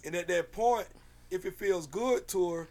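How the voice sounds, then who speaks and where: A man with a raspy voice telling a story in casual, slangy podcast chat, animated and swearing freely. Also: high voice, moderate pace.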